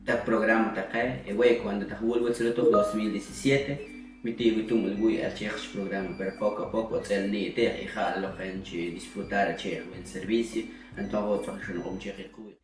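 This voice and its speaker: A man with a low voice speaks in quick bursts. He sounds distant in an echoing space, with faint music underneath. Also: steady tone.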